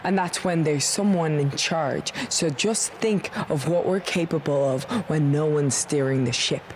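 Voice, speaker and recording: A woman's voice: sits low, measured pace, slight background noise. A woman playing a cocky, threatening female wrestler and gang leader in a video game, with a swaggering, taunting manner.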